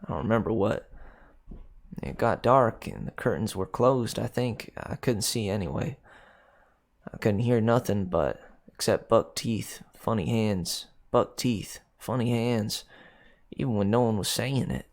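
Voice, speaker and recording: A man voicing a troubled, defiant little girl with an American country accent, telling her memory in halting, childlike, insistent speech. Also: fairly low pitch. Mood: confused, haunted. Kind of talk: dramatic first-person story reading.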